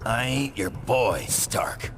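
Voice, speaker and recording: A man voicing a gruff, surly, sardonic superhero in a video game cutscene, with a very deep, gravelly growl. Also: lively intonation, slow. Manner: blunt, sardonic, taunting. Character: gruff, surly, short tough-guy superhero.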